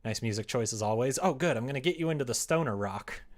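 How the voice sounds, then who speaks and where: A man's voice: medium pitch, lively intonation, fast talker. A man chatting casually on a livestream while he works, rambling and thinking aloud in a self-deprecating way. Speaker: livestreamer making a visual novel.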